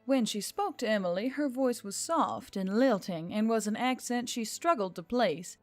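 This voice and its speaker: A woman's voice: fairly high pitch, lively intonation, normal speed, no echo. A woman reading scripted fiction as an audiobook narrator. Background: music.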